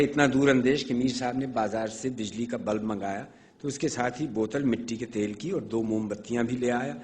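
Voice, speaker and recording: A man voicing an exasperated elderly master in a comic story, lecturing his foolish servant with slow emphasis. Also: medium pitch, darkish tone, natural voice. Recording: noisy reverberant hall recording.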